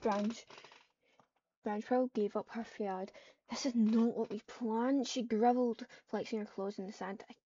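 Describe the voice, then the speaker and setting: A woman's voice: fairly high pitch, fairly even intonation, unhurried, warm tone. A woman narrating an improvised roleplay story in third person, speaking the dialogue and tags herself and repeating phrases as she composes them. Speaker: online roleplayer. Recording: muffled lo-fi mic, noisy with typing clicks. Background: keyboard typing and clicks.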